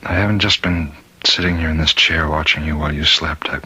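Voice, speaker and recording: An actor playing a troubled prison warden in a radio mystery, agitated and halting in manner. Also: male voice, rumbling low, fairly even intonation, sharp tone, breathy edge, heavily acted. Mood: fearful, confessing. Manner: agitated, halting, repeats himself. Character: troubled male prison warden, rattled and commanding. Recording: faintly noisy old radio recording.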